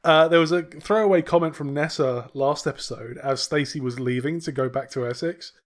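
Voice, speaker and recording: A man's voice: a little high, a little fast. Laughing, sweary young man recapping a sitcom. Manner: swears often, laughs a lot, loose and rambling. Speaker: podcast co-host. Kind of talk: casual chat, recapping a sitcom.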